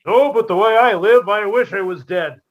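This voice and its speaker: A man's voice: high-pitched, moderate pace, muffled recording, lightly acted. A man playing a shabby, self-pitying musician neighbor who delivers dry punchlines in a 1950s-style radio comedy.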